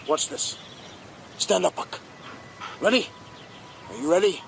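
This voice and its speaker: A man playing a bold, rough-and-ready gold prospector in a radio drama, brisk, commanding and teasing. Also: high voice, expressive, a little hiss or hum, heavily acted. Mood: confident, boasting.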